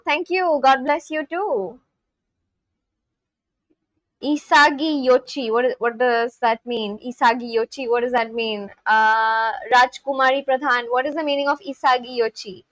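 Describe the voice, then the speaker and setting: High-voiced Indian woman chatting to her stream. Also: unhurried, fairly bright tone, no room sound. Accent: Indian English. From Kolkata, West Bengal, India. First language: Bengali. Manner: chatty and self-deprecating, many fillers. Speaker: livestreamer. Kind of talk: casual livestream chat, reading comments aloud.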